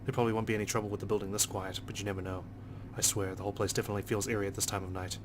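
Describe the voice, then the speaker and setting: A man's voice: lowish voice, flat delivery, rapid-fire, noisy background. A man reading a visual novel aloud in the first person with a dry, sardonic delivery. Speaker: let's play narrator.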